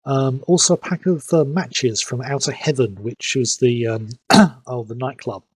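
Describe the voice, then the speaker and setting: A man streaming a game, his voice a little husky and stuffed up from hay fever. He rambles through recaps with plenty of filler, recorded close and dry with no room sound. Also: medium pitch, no echo. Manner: rambling, fills pauses with "um". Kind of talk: casual live-stream commentary.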